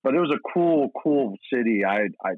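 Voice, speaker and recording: Tinny phone-like sound, American man, low dry voice, rambling casual podcast guest. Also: lively intonation, a little fast, no room sound. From Portland, Oregon, United States. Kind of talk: casual anecdotal chat.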